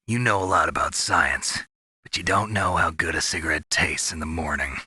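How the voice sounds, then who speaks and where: An actor playing a gruff, world-weary soldier hero in a video game, terse and gravelly. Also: male voice, deep voice, moderate pace, pushed and bright, no echo, full character voice. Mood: dry, defiant. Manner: terse, laconic.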